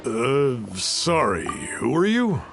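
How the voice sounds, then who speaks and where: Animated, guarded townsman. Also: mid-range voice, takes it slowly, gravelly, over music, heavily acted. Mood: puzzled. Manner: indignant, curt. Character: ordinary townsman, easily offended, guarded. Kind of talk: video game voice acting.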